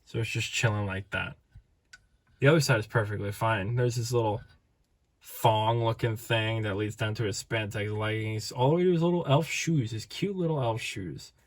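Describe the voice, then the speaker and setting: A man's voice: on the low side, steady tone, normal speed. A man casually reviewing collectible figures, rambling and playful, tired with his voice starting to give out. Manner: rambling, playful, self-interrupting. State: tired, voice fading.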